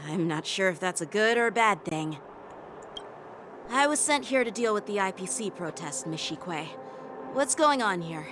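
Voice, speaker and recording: A man's voice: sits high, expressive, a little slow, background noise. An actor playing an earnest, dutiful young male officer who speaks courteously and formally. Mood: wry. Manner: courteous, formal, self-critical. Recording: game dialogue over music. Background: game music.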